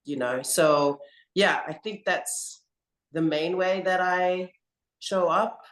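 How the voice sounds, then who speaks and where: A woman rugby coach answering interview questions in a slow, earnest, reflective way. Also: on the low side. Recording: roomy echo.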